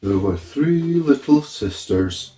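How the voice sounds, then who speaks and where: A drowsy little mouse, hoarse, high-pitched, slow, storybook creature, roomy echo. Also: male voice, lively intonation, warm tone, faint music behind. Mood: sleepy storytelling. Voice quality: hoarse, feeble.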